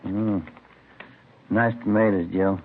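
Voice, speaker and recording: An actor playing a chatty, good-natured married police detective who fusses mildly, in naturalistic 1950s radio drama on a tinny old recording. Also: male voice, on the low side, fairly even intonation, slow pace, a little hiss or hum. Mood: homely, easygoing. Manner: homely, chatty, mildly fussing.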